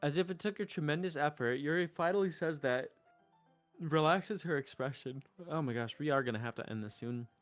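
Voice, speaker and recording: A man's voice: on the high side, a little fast. Man streaming a visual novel. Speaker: streamer, narrator and player character. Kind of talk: voiced visual novel playthrough. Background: music.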